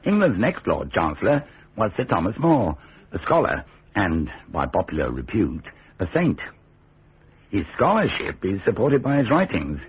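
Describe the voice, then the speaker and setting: A man's voice: on the low side, wildly swooping pitch, normal speed, husky, exaggerated voice. An actor playing a wry common-man narrator, reading history with dry irony in a radio drama. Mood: dry, ironic. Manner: dry, bookish, ironic. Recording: tinny, band-limited radio drama recording.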